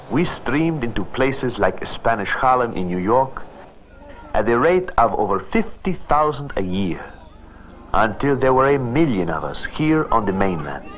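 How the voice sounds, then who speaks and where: A man giving slow, solemn narration for an old documentary. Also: lowish voice, slight background noise. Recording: dull old archive sound.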